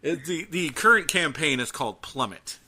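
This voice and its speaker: A man co-hosting a casual podcast, talking in a chatty, halting way. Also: a little high, animated, sharp tone, a little hiss or hum. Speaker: podcast co-host.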